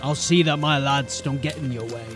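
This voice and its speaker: A man's voice: mid-range voice, steady tone, normal speed, music in the background, close to an everyday voice. A man playing a menacing, mocking gang boss in a fantasy audio drama, taunting and swaggering. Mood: scornful.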